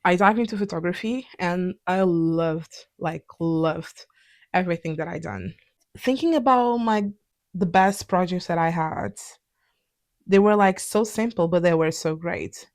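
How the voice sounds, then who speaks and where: A woman's voice: on the low side, a little slow, a little mellow. A young woman with a Portuguese accent, a native Portuguese speaker, talking candidly in a conversational, reflective personal vlog. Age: young adult. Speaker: vlog host.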